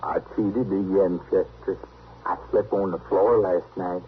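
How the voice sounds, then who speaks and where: A man playing a simple, earnest, stubborn backwoodsman with a rural American accent and halting, folksy speech in an old-time radio western. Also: deep voice, fairly even intonation. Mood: plain, friendly. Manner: halting, plain-spoken, folksy. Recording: tinny, hissy old radio recording.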